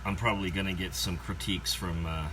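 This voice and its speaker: A man's voice: sits low, fairly even intonation, fast. A man giving a casual, rambling unboxing commentary with wry asides, as a collector showing off a toy. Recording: noisy homemade video recording.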